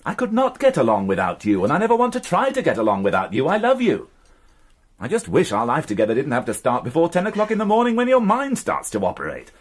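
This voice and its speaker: An actor playing a wry, devoted police inspector in a radio drama, speaking with dry sarcasm. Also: male voice, a little high, huge pitch swings, fast talker. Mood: fond, wry. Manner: dry, sardonic. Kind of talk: BBC radio drama acting.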